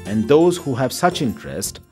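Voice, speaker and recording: A man narrates a documentary in a measured, scripted read. His pitch moves a lot through each line, and music plays clearly beneath him. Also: big pitch swings. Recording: voice-over over background music.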